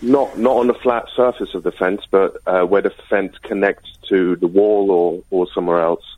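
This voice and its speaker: A middle-aged man speaks over a narrow phone line to a radio show. His voice is low and slightly croaky with a head cold. He explains things casually, with a mild, hesitant manner. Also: steady tone, normal speed, no echo. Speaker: phone-in caller.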